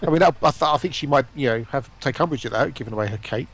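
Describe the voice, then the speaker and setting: A man's voice: medium pitch, racing, a bit bright. A man chatting casually as a stream guest, with a dry sense of humour. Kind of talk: casual chat.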